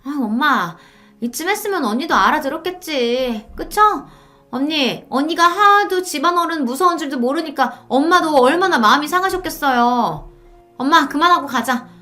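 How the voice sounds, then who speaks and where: A woman's voice: sits high, animated, moderate pace, pushed and bright, pitched above the performer's own voice. A woman voicing a sly, wheedling young sister-in-law who coaxes with false sweetness in a dramatized story. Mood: sly coaxing.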